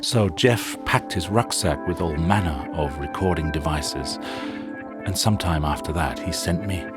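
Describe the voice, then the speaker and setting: Deep-voiced man narrating over loud music. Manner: measured, wry storytelling. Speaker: show host and narrator. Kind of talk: scripted documentary narration.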